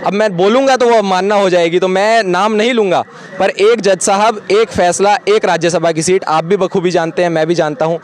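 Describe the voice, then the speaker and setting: A man's voice: high-pitched, steady tone, brisk. A young man from Uttar Pradesh, a student protester, arguing passionately in a street interview, firing rhetorical questions. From Uttar Pradesh, India. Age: young adult. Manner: impassioned, argumentative, rhetorical questions.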